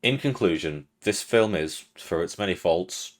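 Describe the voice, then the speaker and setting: A young British man with a British accent, reading a prepared critical commentary as the host of an online show, in a measured, dry and earnest way. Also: deep voice, lively intonation. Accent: British English. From Britain. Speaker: video essay host.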